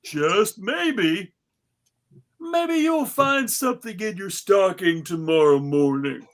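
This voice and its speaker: A man playing a stern but kindly Santa Claus, scolding with theatrical, drawn-out emphasis. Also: high-pitched, lively intonation, full character voice.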